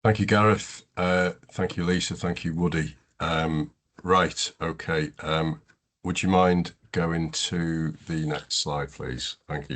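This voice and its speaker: A man with an extremely deep voice chairs an online webinar. He rambles through his links with many "you know"s and restarts, and his sound comes over a call. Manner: rambling, filler-heavy, restarts. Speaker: webinar host. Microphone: video call.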